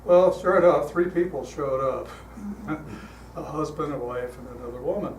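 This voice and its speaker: An older man speaking as a guest at a church, telling stories in a relaxed, chatty way with frequent fillers, his voice calm. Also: on the high side, moderate pace. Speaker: guest speaker leading a guided meditation. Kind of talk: storytelling talk to a congregation. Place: church hall. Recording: echoey hall, heard from a distance, noisy.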